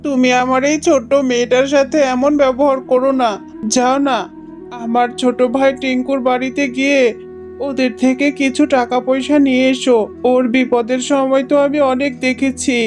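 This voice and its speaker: A gentle cartoon fox husband and father, voiced by a woman, fairly high and even in pitch. He sounds earnest and trusting, with music behind. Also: normal speed, close to an everyday voice. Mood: earnest, pleading. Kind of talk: dramatised Bangla cartoon story.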